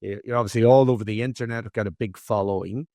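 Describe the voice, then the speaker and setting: An Irish man with a lowish voice hosts a podcast in a relaxed, chatty way. He restarts his phrases often and swears casually. The sound is dry and close. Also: fast, dry recording. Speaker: podcast host. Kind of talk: casual interview chat.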